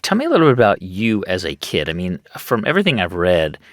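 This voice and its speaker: A man's voice: low-pitched, wildly swooping pitch, fast, dry recording, clean studio sound. A man hosting an interview, asking curious, engaged questions. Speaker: podcast host.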